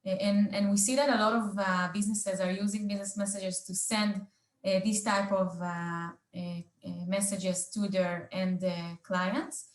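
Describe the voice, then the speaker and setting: A woman hosting a business roundtable, speaking off the cuff and haltingly, with very frequent filled pauses. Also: mid-range voice, fairly even intonation, echoey room. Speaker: roundtable host. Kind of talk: unscripted business presentation in a panel discussion.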